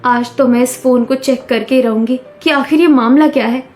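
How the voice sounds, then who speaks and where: A woman's voice: high voice, normal speed, a little mellow, natural voice. A woman playing a devoted but suspicious young village wife in a cartoon, earnest and questioning.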